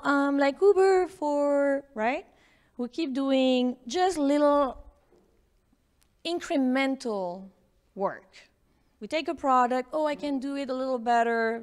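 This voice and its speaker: A woman with a slightly high voice speaks to a room of students at a live workshop. She coaxes and prods them, firing questions straight at the audience to draw out their ideas. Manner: coaxing, questions the audience directly.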